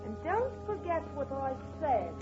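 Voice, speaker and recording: An actress playing a superstitious, stubborn housekeeper with rustic speech and slow, ominous emphasis in an old-time radio horror play. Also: female voice, high-pitched, very animated. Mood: warning. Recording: dull old radio recording with music.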